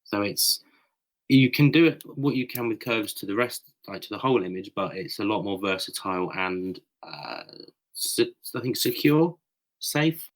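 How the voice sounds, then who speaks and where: A British man with a slightly croaky voice, explaining his photo edits step by step in a casual podcast chat over a voice call. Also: lowish voice, expressive, normal speed, rough recording. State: a bit croaky.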